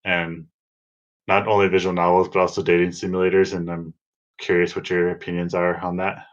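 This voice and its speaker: A man with a low voice hosts a casual podcast. He talks in a loose, rambling way, full of filler words. The sound is a little dull and muffled. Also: flat delivery, fairly quick. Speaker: podcast host.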